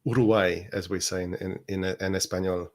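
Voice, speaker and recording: A man's voice: low voice, fairly even intonation, normal speed. A Chilean-born man with an Australian accent co-hosting a podcast, easygoing and hesitant with ums, laughing often. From Chile, raised in Australia. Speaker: podcast co-host. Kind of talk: casual podcast chat.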